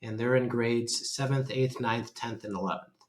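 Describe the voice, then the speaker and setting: A man's voice: mid-range voice, steady tone, moderate pace. A man from Detroit, a father of six, introducing himself plainly with a few fillers. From Detroit, Michigan. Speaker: parent guest.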